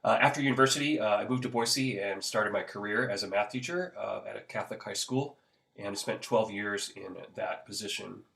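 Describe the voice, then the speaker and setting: A man in his fifties from the Pacific Northwest, a school counselor, chatting in an interview at an easy, rambling pace with many "uh" pauses. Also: lowish voice, gravelly, sharp tone, poor audio. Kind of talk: conversational interview.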